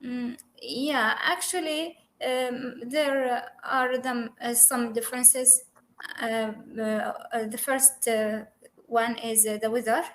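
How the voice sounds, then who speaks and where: Student, woman, slow careful delivery, lots of fillers, video call. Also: fairly high pitch, fairly even intonation, fairly bright tone, poor audio. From Syria. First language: Arabic. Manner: hesitant, many pauses and fillers. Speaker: English student. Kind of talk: online conversation lesson.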